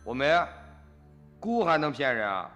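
An actor playing a brash, dismissive middle-aged farmer's son in a radio drama, blustering and scoffing in colloquial speech. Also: male voice, medium pitch, sing-song, a little slow, a bit bright, highs cut off, soft background music. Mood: scornful.

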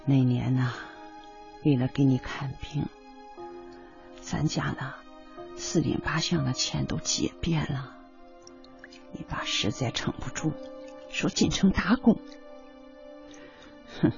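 A woman's voice: sits low, slow, creaky, long pauses, darkish tone, exaggerated voice. A woman acting a careworn, devoted middle-aged mother in a radio drama, speaking in an earnest, plaintive, homely way. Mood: tender, grave. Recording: dull radio drama recording, music bed.

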